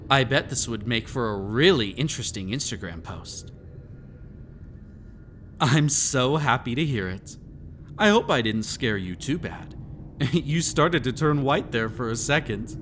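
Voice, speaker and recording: A man's voice: medium pitch, expressive, a little slow, fairly bright tone, a little hiss or hum. A man playing a sinister, centuries-old master of a haunted estate in a dramatic audio roleplay, teasing and taunting theatrically. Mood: playful teasing. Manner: teasing, taunting, theatrical, with frequent sly chuckles.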